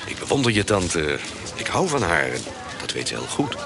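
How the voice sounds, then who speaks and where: An actor playing a worldly, adventurous trader and crook in a radio play, terse and self-assured. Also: male voice, sits low, very animated, normal speed. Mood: wistful, candid. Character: worldly, adventurous male trader and crook, aunt's devoted partner. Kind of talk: Dutch radio play acting.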